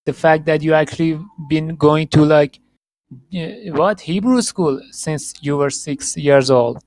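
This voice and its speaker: Halting male podcast host, dry sound. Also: fairly high pitch, darkish tone, no echo, low-quality recording. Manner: halting, many restarts and fillers, laughs often. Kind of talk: casual interview chat.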